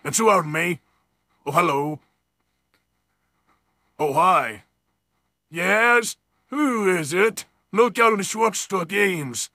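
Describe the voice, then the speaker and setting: A man's voice: high voice, lively intonation, takes it slowly, long pauses, bright, forceful tone, no room sound, studio quality. A man doing a crude, improvised comedy skit voice-over, exclaiming, ranting and swearing. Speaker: comic voice-over performer.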